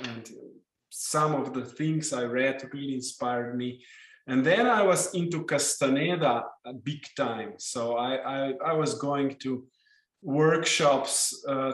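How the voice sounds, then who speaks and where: A man's voice: mid-range voice, echoey room, low-quality recording. A middle-aged man from Slovenia whose first language is Slovenian, speaking English with a Slovenian accent as an interview guest, telling his story reflectively with many hesitant fillers. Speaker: guest, intuitive healer and author.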